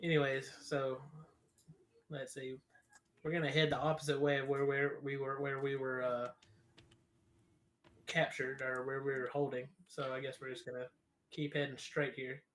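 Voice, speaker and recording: A man's voice: medium pitch, little pitch movement, moderate pace, pauses often, fairly bright tone. A man chatting casually as a player in a tabletop role-playing game with friends. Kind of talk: casual chat among friends.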